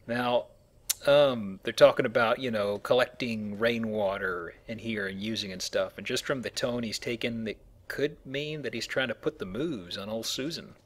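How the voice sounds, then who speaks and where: A man's voice: medium pitch, normal speed. A man giving casual, goofy let's play commentary, rambling along as he plays. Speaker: let's play host, playing the game. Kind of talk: casual chat commentary over gameplay.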